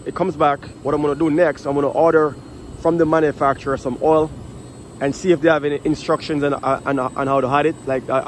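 A man walks through a repair step by step in a loose, casual way, dropping plenty of fillers as he works. His voice sits a little higher than usual. The phone recording is thick with outdoor noise and passing aircraft. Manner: casual, explains while working, many fillers. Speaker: HVAC technician and channel host. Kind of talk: hands-on troubleshooting walkthrough. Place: outdoors at a rooftop unit. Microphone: phone camera held in one hand.

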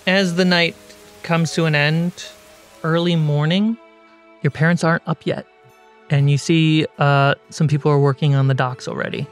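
A man narrating a tabletop game as game master, telling the story deliberately and dramatically with pauses. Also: fairly high pitch, steady tone. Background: background music.

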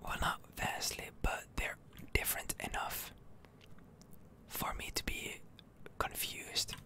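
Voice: slow, sharp tone. A person whispering ASMR commentary over a video game, rambling a little and repeating words, close to the microphone. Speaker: gaming streamer, ASMR video host.

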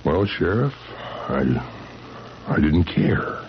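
An actor playing an earnest, devoted husband in 1970s radio drama, hesitant and pleading. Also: male voice, low voice, very animated, slow, full character voice. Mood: defensive, pleading. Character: earnest devoted husband telling his strange story. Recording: dull, noisy 1970s radio recording.

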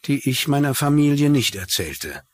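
A man's voice: mid-range voice, big pitch swings, normal speed, natural voice. A man voicing dubbed interview testimony in a documentary. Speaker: dubbing voice for a victim's relative in a documentary.

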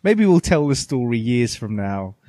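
A man's voice: mid-range voice, big pitch swings, moderate pace, warm tone, no room sound. Dry-sounding, jokey man. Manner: informal, jokey. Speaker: podcast co-host. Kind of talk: casual banter.

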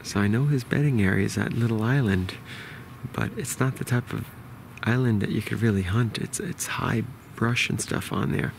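A man in his forties with a New York accent, quietly explaining a hunt to a close-held camera outdoors in the woods. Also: normal speed, creaky, slight background noise. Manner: quiet, low-key explaining. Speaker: bowhunter. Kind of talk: hushed outdoor hunting vlog. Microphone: camera held close to face.

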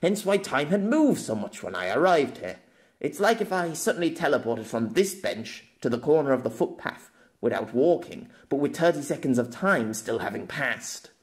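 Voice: mid-range voice, very animated, moderate pace, lightly acted. A storyteller voicing a patient, world-weary, sceptical man in an audio novel, reasoning in a dry, pedantic way. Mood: patient, explaining.

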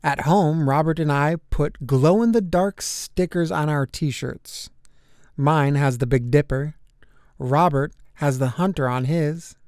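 A man narrating a children's picture book aloud, with deliberate, expressive phrasing for young listeners. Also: a little high, no room sound, studio quality. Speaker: storybook narrator.

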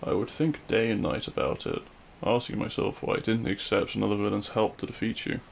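A man playing a regretful, lovelorn supervillain in an audio roleplay, speaking in a hushed, halting, wistful way. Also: lowish voice, steady tone, creaky, slight background noise. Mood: confessional. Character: regretful lovelorn male supervillain addressing his fallen hero. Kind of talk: scripted ASMR audio roleplay monologue.

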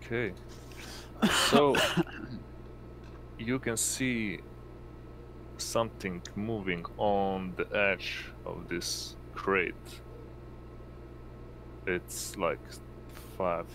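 A man running a tabletop roleplaying game as game master, halting and searching for words as he narrates. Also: deep voice, steady tone, creaky, a bit bright, a little hiss or hum.

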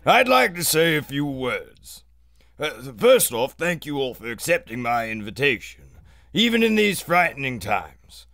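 An actor playing a pompous, blustering aristocratic lord who makes halting speeches in a theatrical audio adventure serial. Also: male voice, on the high side, big pitch swings, fairly bright tone, no room sound, studio quality, full character voice. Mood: pompous, formal.

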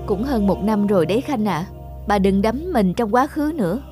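A blunt young woman acted by a woman banters in a cartoon. Her talk is colloquial at a medium pitch, with clear music behind it. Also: animated, normal speed, close to an everyday voice. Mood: exasperated banter. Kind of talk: animated cartoon dialogue.

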